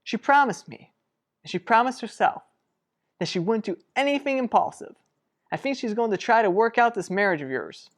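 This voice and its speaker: An actress playing an earnest, protective sister in a radio soap drama, speaking deliberately and persuasively. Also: female voice, on the high side, expressive. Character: earnest, protective sister pleading for a troubled couple. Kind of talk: revived vintage radio soap drama acting.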